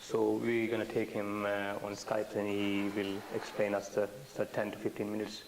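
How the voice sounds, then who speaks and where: Low, creaky-voiced man chairing a meeting. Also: monotone, moderate pace. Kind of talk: informal meeting address. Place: indoor meeting. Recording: hissy, slightly noisy meeting recording.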